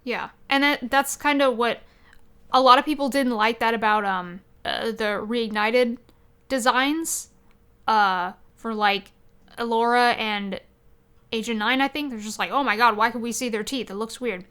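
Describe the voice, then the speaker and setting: A woman's voice: on the high side, a little slow, a bit bright, clear, resonant tone. A woman co-hosting a podcast, chatting casually with blunt opinions. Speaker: podcast co-host. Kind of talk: casual discussion podcast chat.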